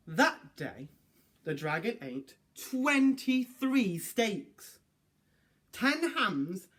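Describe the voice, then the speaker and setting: A man reading a children's story aloud, pausing to explain and put questions to his young listeners. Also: on the high side, fairly bright tone.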